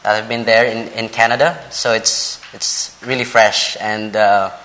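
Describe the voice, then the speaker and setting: A man in his thirties from the Philippines, with a Filipino accent and English as a later language, speaking to a church in a halting, polite way as a visiting preacher. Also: fairly low pitch, fairly even intonation, sharp tone, poor audio. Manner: halting, frequent pauses and repeated words, polite. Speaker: visiting preacher, Bible college vice president. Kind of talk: church sermon introduction and scripture reading.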